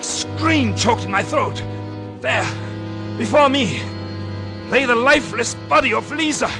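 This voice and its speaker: Melodramatic murderous husband, wildly swinging pitch. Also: male voice, expressive, exaggerated voice. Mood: horrified. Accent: American. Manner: melodramatic, intense, deliberate. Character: calculating murderous husband, a doctor, smug then horrified. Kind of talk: 1940s radio acting, first-person suspense narration. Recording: 1940s radio recording with music behind.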